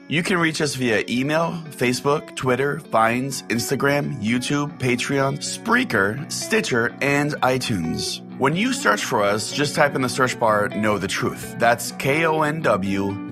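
A man's voice: medium pitch, a bit bright. A man hosting a comic call-in podcast in a mock-pompous, self-important manner. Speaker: podcast host.

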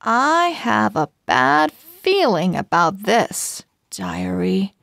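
Small male pug for a children's book, read by a woman, sincere and quick to take offence, slow, dry recording. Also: mid-range voice, sing-song, gravelly, fairly bright tone, no echo, full character voice. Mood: uneasy. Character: small earnest male pug, easily exasperated.

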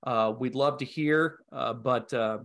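A man's voice: medium pitch, a little slow, gravelly, fairly bright tone. A middle-aged man speaking casually as a creative guest in a video call interview. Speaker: guest, audio drama creator. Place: indoor room on a video call.

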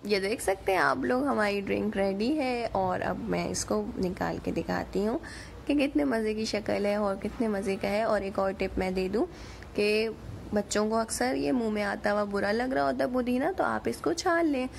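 A woman's voice: mid-range voice, normal speed, creaky, slight background noise. A woman with a Pakistani accent giving a chatty, informal recipe voice-over as a home cook.

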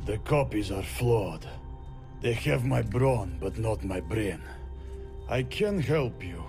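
A low, formal game brute, measured and calm, with faint music under the lines. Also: male voice, vocal fry, full character voice. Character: hulking naked cloned brute, calm and clever. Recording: game score playing behind.

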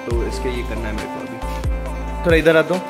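A young Indian man with a slightly high voice talks casually to his phone as he vlogs. He drops English words into his everyday chat, and music plays clearly behind him. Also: lively intonation, fast talker. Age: young adult. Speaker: vlogger. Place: on the move in car, garage, home and gym. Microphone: phone held at arm's length.

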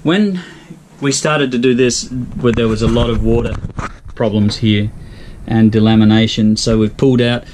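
A man's voice: mid-range voice, steady tone, moderate pace, a little mellow, slight background noise, poor audio. An older Australian man giving a chatty, folksy vlog tour of his sailboat cabin, recorded on an action camera. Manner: chatty, folksy, thinking aloud. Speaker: sailing vlogger. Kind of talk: casual vlog narration.

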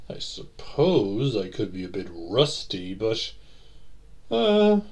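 A man's voice: medium pitch, a little mellow. A man playing a friendly, concerned, easygoing guy in an audio roleplay, talking expressively.

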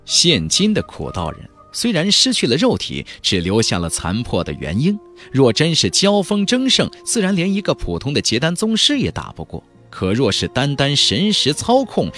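A man's voice: medium pitch, huge pitch swings, moderate pace, hardly any pauses, a bit bright, faint music behind. A man narrating a fantasy audiobook in a dramatic, scripted storytelling style.

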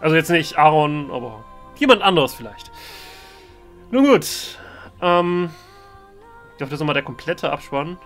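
A man's voice: a little high, animated, moderate pace, pauses often, a bit bright. A man giving casual let's play commentary, hesitant and rambling as he thinks aloud. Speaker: let's player, role-play gaming channel host. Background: game music.

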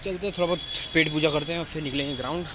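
Young man vlogging over loud traffic. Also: fairly high pitch, steady tone, racing. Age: young adult. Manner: chatty, mixes in English words. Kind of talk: casual vlog narration. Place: outdoors on streets, bus stand and roadside. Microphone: phone camera held at arm's length. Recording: loud traffic and outdoor noise. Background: traffic, motorcycles and street noise.

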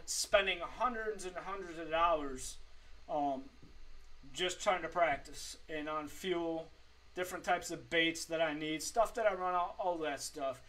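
A man in his forties giving informal tips to camera in a halting, improvised way from a home room. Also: fairly high pitch, bright, forceful tone, far from the mic, low-quality recording. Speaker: fishing tips presenter. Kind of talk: informal tutorial talk to camera. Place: small home streaming room, indoors.

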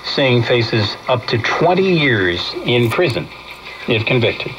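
A man's voice: mid-range voice, sing-song, takes it slowly, lo-fi sound, noisy background. A man reading a radio news bulletin, heard through a vintage radio speaker. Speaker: news reader.

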